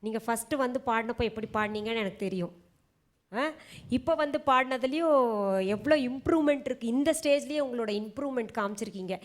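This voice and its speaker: High-voiced woman judging singers. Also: fast talker. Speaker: singing audition judge. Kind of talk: spoken critique of contestants.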